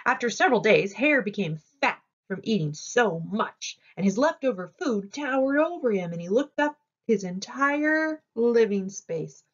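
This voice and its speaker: A woman reading a children's story aloud in an expressive, deliberate storytelling manner. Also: fairly high pitch, dull audio. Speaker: storybook reader.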